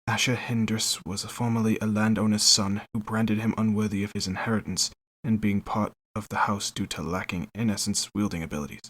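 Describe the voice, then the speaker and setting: An actor playing a wry veteran knight captain in a fantasy audio drama, storytelling in a conversational way. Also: male voice, fairly low pitch, fairly even intonation, fairly quick, a bit bright. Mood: wry, confiding.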